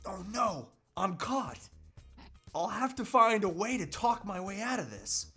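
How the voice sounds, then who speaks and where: An earnest husband on an obsessive crusade, acted by a man at a comedy table read. He is melodramatic and determined, with very animated pitch. Faint music plays behind the voice. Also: unhurried, slightly rough, exaggerated voice. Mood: panicked, pleading.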